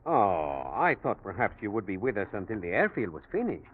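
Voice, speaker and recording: An obliging, talkative South American hotel man with a Spanish accent. His courteous, probing speech swings widely in pitch and falters politely. It sounds like a forties radio play on a thin, noisy old recording. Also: big pitch swings, a little fast, phone-quality sound. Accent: Latin American Spanish. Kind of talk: 1940s radio drama acting.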